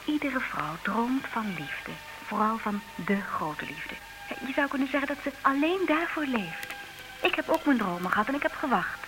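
A woman's voice: a little high, big pitch swings, moderate pace. A woman acting in a comedic radio play as a frank, romantic, politely argumentative woman, speaking in an articulate, theatrical way. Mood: dreamy, frank. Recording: noisy, hissy old radio recording.

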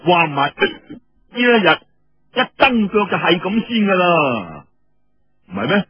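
A man's voice: sits high, huge pitch swings, a little slow, slightly rough, no echo, lo-fi sound, pitched above the performer's own voice, full character voice. A man voicing a drunk, brash, devil-may-care young rebel warrior in Cantonese, stammering and blustering. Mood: boastful, carefree.

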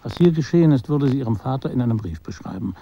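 An actor playing a detached, brooding narrator in a literary radio drama, deliberate and at times hushed. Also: male voice, mid-range voice, animated, long reverb tail, highs cut off, lightly acted. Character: detached, brooding male narrator of a broken romance.

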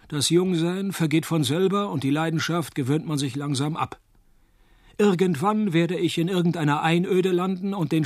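An actor playing a hard-boiled, laconic private detective, delivering deadpan noir radio play narration and dry wit. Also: male voice, on the high side, dry recording. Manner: deadpan, wry.

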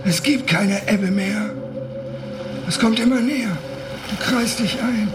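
A woman's voice: medium pitch, measured pace, music in the background, lightly acted. An actress playing an eerie woman who warns a child about the sea, speaking ominously in a radio play. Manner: ominous, insistent, dreamlike. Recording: noisy ambience behind.

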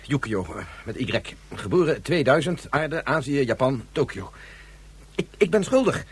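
An actor playing an agitated Japanese inventor who confesses to the police in a radio drama, halting and insistent, with a husky voice. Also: male voice, medium pitch, very animated.

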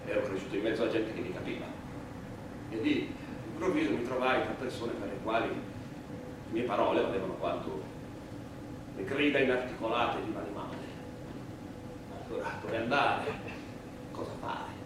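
An actor playing an earnest Italian immigrant worker and anarchist on trial, giving halting, plain-spoken theatrical testimony in an Italian accent. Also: male voice, on the low side, natural voice. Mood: sombre, reflective. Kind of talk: theatrical monologue, courtroom testimony. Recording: very echoey, far-off mic, noisy stage recording.

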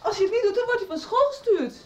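A persistent, inquisitive daughter in a radio soap. Her high voice moves around a lot. Loud noise and room echo surround it, slightly off-mic. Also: quick pace, dull audio. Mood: curious. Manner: lively, probing. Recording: noisy, echoey, distant radio recording.

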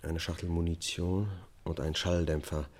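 An actor playing a weary accused man in a radio drama, confessing in a halting, controlled way. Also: low voice, flat delivery, darkish tone. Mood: subdued recounting. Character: male accused family killer, a weary confessing double-life husband. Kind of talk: radio drama acting, first-person testimony.

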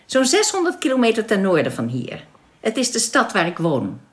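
A woman acting in a radio drama as a calm, welcoming young alien who explains things politely and with composure. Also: a little high, moderate pace. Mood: polite, reassuring.

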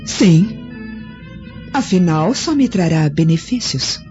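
A woman's voice: lowish voice, lively intonation, slow. An actress playing a haughty, conspiring Roman noblewoman in a theatrical radio drama. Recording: dull lo-fi audio, loud music behind.

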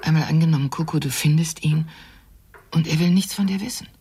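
An actress playing an older, anxious grandmother in a radio drama, fretful and pleading in a breathy voice. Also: deep voice, a little fast, mellow, full character voice. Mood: worried, pleading. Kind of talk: German radio drama acting.

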